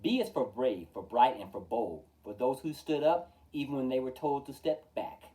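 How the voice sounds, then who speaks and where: A man hosting a storytime, reading a rhyming children's book aloud in a theatrical, measured way, with emphatic openings and falling line endings. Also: mid-range voice, animated, mellow, distant mic, low-quality recording. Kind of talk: expressive children's picture-book read-aloud in rhyme.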